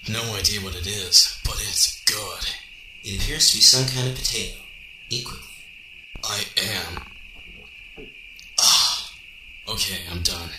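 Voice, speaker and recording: A man plays a stealthy male cyborg hedgehog with a lowish, very sharp and forceful voice. He is terse and matter-of-fact, in an amateur radio drama with roomy echo. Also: heavily acted. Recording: roomy echo, faint background noise.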